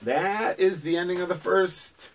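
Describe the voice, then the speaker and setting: A man's voice: sits high, unhurried, recorded from a distance, slight background noise. A man reading his own rhyming children's book aloud in a sing-song storybook manner.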